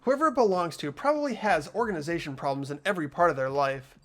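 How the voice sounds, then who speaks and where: A person reading visual novel narration and dialogue expressively, voicing an earnest, anxious high school student. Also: lively intonation, fast talker. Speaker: player reading a video game's lead role aloud.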